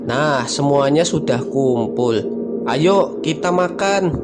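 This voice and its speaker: Man playing a slow easygoing dad. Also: a little high, expressive, very noisy. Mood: relaxed. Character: father, easygoing family man. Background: music.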